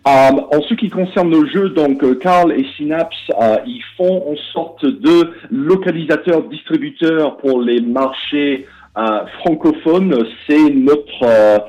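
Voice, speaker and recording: A man's voice: on the high side, takes it slowly, a little mellow. A man from Britain with a British English accent, a board game publisher answering interview questions in French with many fillers and restarts. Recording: dull lo-fi audio.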